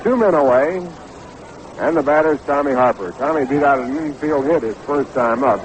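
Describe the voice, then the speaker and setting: A man's voice: mid-range voice, moderate pace. American man calling baseball on noisy old radio. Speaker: baseball play-by-play radio announcer. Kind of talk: 1960s radio sports commentary. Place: stadium broadcast booth. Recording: noisy 1960s AM radio broadcast.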